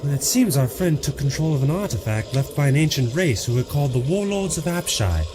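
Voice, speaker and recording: An actor playing an earnest, commanding time-traveler hero in an audio drama, authoritative and excitable. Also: male voice, on the high side, brisk, noisy background. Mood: grave.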